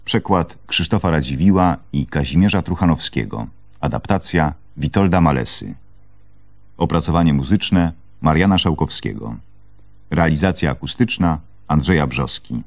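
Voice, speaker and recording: Very deep-voiced male radio announcer. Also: expressive, normal speed. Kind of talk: formal scripted credits reading.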